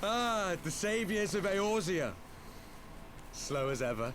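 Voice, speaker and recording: Game villain: taunting, theatrical, slow and deliberate delivery, noisy backdrop. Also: male voice, high voice, very animated, heavily acted. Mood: mocking. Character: mocking, coolly menacing male villain. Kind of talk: video game cutscene acting.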